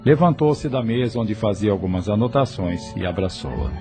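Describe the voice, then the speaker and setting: Guy narrating an audio novel, low mellow voice, very expressive, soft music underneath. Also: normal speed.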